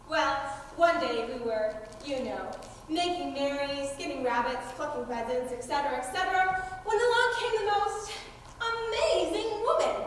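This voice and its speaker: Far from the mic in a reverberant hall, a cocky outlaw queen played by a teenage girl holds forth in a high voice. She is brash, mocking and theatrical, a stage-play leader who loves to tease. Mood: storytelling. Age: teens. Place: large indoor school performance space. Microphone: distant camera or room microphone. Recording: echoey, noisy hall, recorded from far off.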